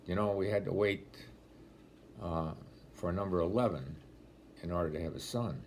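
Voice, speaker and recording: A man in his eighties with a deep voice, speaking as a deacon in a homily-style talk, telling stories with gentle dry humor, filmed on a laptop webcam at home. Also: moderate pace, a little hiss or hum. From Rochester, New York area. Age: 80s. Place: home room, indoors. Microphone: laptop webcam at close range.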